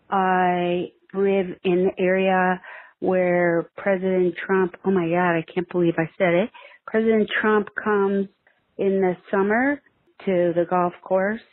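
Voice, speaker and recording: A woman leaving a conversational voicemail as a listener, setting out her account phrase by phrase over the phone. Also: mid-range voice. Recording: narrow tinny phone line.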